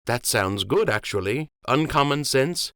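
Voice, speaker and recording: A man voicing a calm, courteous young rabbit captain. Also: medium pitch, clear, resonant tone, no room sound, studio quality, pitched above the performer's own voice. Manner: courteous, measured.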